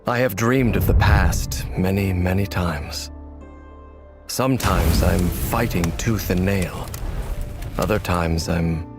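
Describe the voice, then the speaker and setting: A man voicing a brooding, world-weary, long-lived warrior in a video game, speaking with grave, formal restraint. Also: low-pitched.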